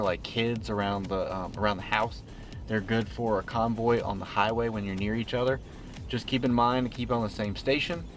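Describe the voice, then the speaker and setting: A man hosting a casual gadget review vlog in an informal, plain-spoken way. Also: lowish voice. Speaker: host of a car tech review channel.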